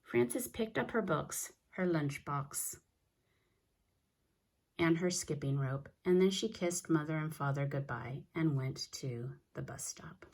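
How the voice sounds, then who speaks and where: A woman's voice: low voice, animated, slow, a little mellow. A woman narrating a children's storybook aloud as a reader.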